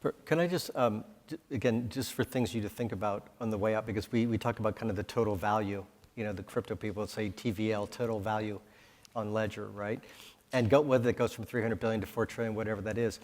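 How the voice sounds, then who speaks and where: A man's voice: lowish voice, fairly even intonation, fast talker, darkish tone. A man moderating a conference panel, talking conversationally and posing rhetorical questions. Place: conference hall. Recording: hall reverb.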